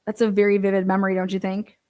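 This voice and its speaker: A woman's voice: medium pitch, little pitch movement, very fast, dark tone. A woman giving informal true-crime commentary, reading from a transcript and breaking in with pointed, skeptical asides and rhetorical questions.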